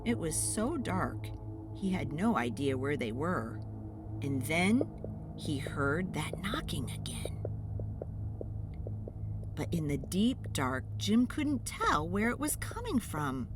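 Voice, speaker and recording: A woman narrating children's picture books aloud in an expressive, theatrical way. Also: low voice, takes it slowly, long pauses, over music. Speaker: children's read-aloud storyteller.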